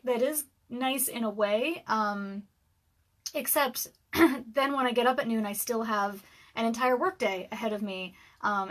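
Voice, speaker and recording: A woman in her thirties with a scratchy voice, rambling casually on a livestream from her dark room at home, with lots of ums and little laughs. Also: mid-range voice. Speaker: author and livestream host. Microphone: webcam livestream.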